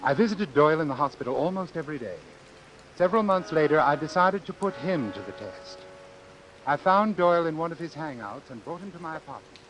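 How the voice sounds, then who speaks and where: An actor playing a cold, calculating doctor in a vintage suspense radio drama, speaking in a formal, precise, measured way. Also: male voice, a little high, lively intonation, fairly bright tone, rough recording. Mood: cold, reflective. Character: cold, calculating male doctor obsessed with his experiment. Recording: hissy old radio recording.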